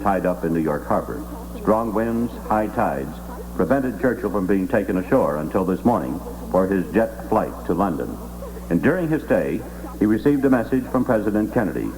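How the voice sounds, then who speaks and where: An American man reading scripted news and advertising copy as a radio announcer on an old broadcast recording. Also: fairly low pitch. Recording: noisy old 1960s radio aircheck with hum. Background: clear noise and hum.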